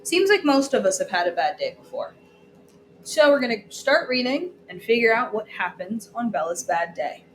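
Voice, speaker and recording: High-pitched, animated woman reading to a class. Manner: deliberate storytelling with questions to listeners. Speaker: teacher reading aloud to a class. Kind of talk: interactive children's story read-aloud. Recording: echoey room with background noise.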